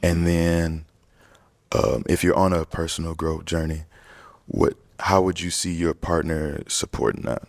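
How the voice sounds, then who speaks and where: A man's voice: sits low, level tone, measured pace, gravelly, dry recording. A man on a dating show talking hesitantly, in slangy speech full of fillers and restarts. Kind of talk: unscripted dating show talk.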